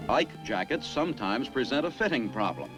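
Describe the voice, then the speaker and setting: Lively-voiced documentary narrator, man. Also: mid-range voice, moderate pace, over music. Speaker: newsreel narrator.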